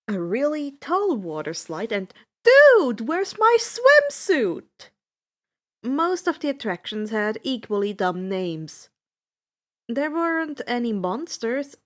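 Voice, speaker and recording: A woman narrates a story in a fairly high voice with very lively intonation. She takes her time, reading like an amateur audiobook host with a theatrical streak. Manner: theatrical, acts out dialogue. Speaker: host reading fan fiction aloud on own channel.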